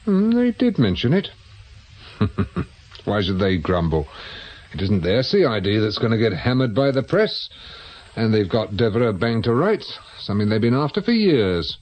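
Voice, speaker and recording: A man's voice: lowish voice, very animated, normal speed, darkish tone. A British man acting in a radio drama as a world-weary, sardonic private investigator who used to be a policeman. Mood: wryly amused. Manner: dry, sardonic. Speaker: actor.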